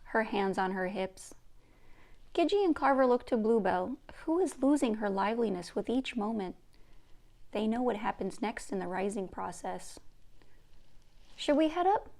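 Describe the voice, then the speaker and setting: A woman's voice: mid-range voice, normal speed, a little mellow. A woman reading aloud from a children's book in a storybook narration style.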